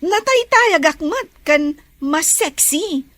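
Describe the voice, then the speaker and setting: A vain, scheming schoolgirl in a radio drama. Her voice is very high and swings widely, slow and theatrical with giggles, and recorded dry. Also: animated, fairly bright tone, dry recording, exaggerated voice. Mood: catty, giggly. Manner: theatrical, drawn-out, giggling delivery. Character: vain, scheming young female student, giggly, boastful. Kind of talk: Filipino radio drama acting.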